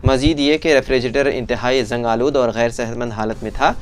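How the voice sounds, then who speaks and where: A man's voice: medium pitch, steady tone, brisk. A man presenting scripted news in a formal bulletin delivery, as a news presenter would.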